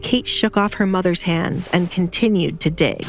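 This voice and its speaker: A woman's voice: on the low side, normal speed, over loud music. A woman narrator reading a scripted romantic drama in a storytelling style.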